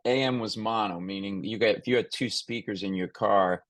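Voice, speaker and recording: A man's voice: fairly low pitch, moderate pace, a bit bright, no echo. A man hosting an interview in a casual, conversational way, rambling and thinking aloud as he goes. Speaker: podcast host interviewing a guest.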